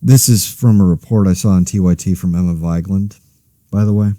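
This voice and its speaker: A man playing a weary, anxious podcast host in a zombie outbreak, speaking casually with dry, wry, halting asides. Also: sits low, steady tone, dark tone, no room sound, lightly acted. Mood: mildly frustrated. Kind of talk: casual monologue, scripted fiction podcast.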